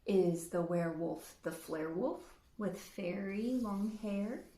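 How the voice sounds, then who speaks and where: A woman's voice: mid-range voice, slow, warm tone, reverberant, pitched below the performer's own voice, close to an everyday voice. A woman voicing a big, menacing, sceptical male wolf in a children's storybook. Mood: menacing, questioning. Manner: snarling, skeptical. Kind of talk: children's storybook read-aloud.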